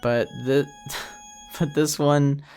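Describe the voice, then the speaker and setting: A man's voice: on the high side, fairly even intonation, extremely slow, a little mellow, rough recording. A man giving casual creator commentary, rambling hesitantly and often correcting himself. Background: episode audio and music.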